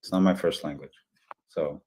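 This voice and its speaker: A man's voice: rumbling low, moderate pace, a little mellow, husky. A man whose first language is Portuguese, chatting casually in a modest, hesitant way. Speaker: discussion participant. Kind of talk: casual chat.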